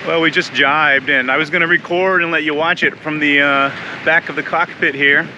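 Relaxed chatty man on a sailboat. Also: on the high side, normal speed, muffled recording, slight background noise. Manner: relaxed, chatty, laughs easily. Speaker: sailing vlog host. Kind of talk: casual chat to camera. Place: outdoors on a sailboat at sea, windy. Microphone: action camera held close at arm's length.

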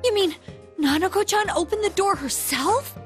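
A perky teenage girl in a mystery video game. The voice is very high and lively, earnest and full of questioning lilt, with music clearly behind it. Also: moderate pace. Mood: curious. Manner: questioning, expressive. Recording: music under the dialogue.

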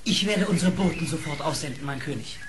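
An actor playing a fiery lynx who serves as court marshal in a fairy-tale audio play, formal and theatrical. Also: male voice, on the high side, animated, fairly quick, background noise, natural voice. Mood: dutiful. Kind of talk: German fairy-tale radio play acting.

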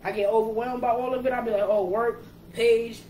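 Casual co-host: New York woman, thirties, animated and slangy, recorded in an echoey kitchen with background noise. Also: a little high. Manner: animated, slangy, quick asides. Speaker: page admin and co-host. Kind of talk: casual chat on a livestream. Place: indoor kitchen. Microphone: camera or laptop mic.

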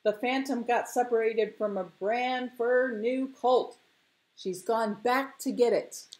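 A woman voicing an excited, rustic fisherman lookout who bawls urgent reports in a hoarse, raspy shout. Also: mid-range voice, unhurried, lightly acted, rough recording.

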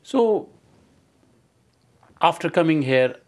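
A man's voice: a little high, lively intonation, slow, a little mellow. A man hosting a studio interview, asking polite, formal questions. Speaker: interview host. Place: small TV studio set.

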